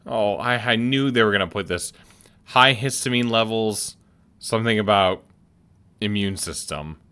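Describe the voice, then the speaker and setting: A man casually commenting on a video game as he plays, thinking aloud and halting with many restarts. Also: on the low side, pushed and bright, clear, resonant tone. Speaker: let's play streamer.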